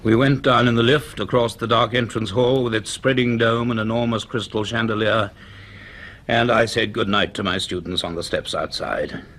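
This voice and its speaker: A man playing a grave, curious middle-aged university professor in a radio drama, speaking in a measured, formal, authoritative way. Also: fairly low pitch, fairly even intonation, fairly bright tone. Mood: measured narration. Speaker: actor and narrator in a radio drama. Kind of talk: radio drama acting and first-person narration.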